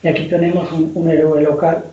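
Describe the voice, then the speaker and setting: A man from Spain talks as an author about his historical novel, circling back and restarting his sentences. His voice sits a little high with a dark, mellow color. The sound is muffled and lo-fi, with a roomy echo around him. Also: level tone, brisk. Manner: rambling, frequent restarts and repeated words. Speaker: novelist guest. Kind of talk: casual conversation on history. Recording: dull lo-fi sound in an echoey room.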